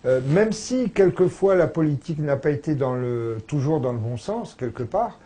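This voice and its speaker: A man's voice: on the high side, expressive, moderate pace, warm tone. A man speaking as a scholarly interview guest, explaining conversationally in a discursive, emphatically agreeable way.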